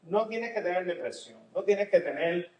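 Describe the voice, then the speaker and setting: A man's voice: high-pitched, moderate pace, a little mellow. A man with a Puerto Rican Spanish accent mixed with some Nicaraguan, chatting casually as a therapist co-hosting a podcast. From Puerto Rico. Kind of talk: casual conversational podcast talk. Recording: roomy, echoey sound.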